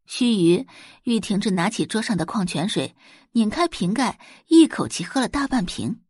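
A woman narrates a dramatic audio novel with lively, sweeping intonation. Her voice sits at a medium pitch with a slightly husky grain, recorded dry and close. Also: normal speed, no room sound.

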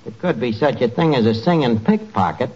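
A man's voice: medium pitch, animated, brisk, close to an everyday voice. An actor playing a sour, streetwise, wisecracking male tavern manager with slangy speech in a 1940s radio comedy. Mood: suspicious. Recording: muffled, noisy 1940s radio recording.

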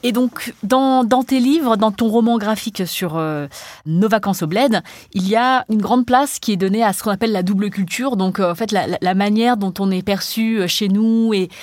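A woman's voice: mid-range voice, normal speed, darkish tone, dry recording, clean studio sound. A woman co-hosting a podcast, speaking thoughtfully with frequent hesitations. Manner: thoughtful, hesitant, many fillers. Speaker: podcast co-host. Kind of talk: casual podcast conversation.

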